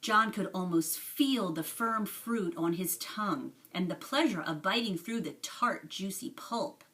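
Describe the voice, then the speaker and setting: Bright-voiced woman reading slowly. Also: on the low side, recorded from a distance. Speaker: storybook reader. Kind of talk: expressive read-aloud narration of a children's book.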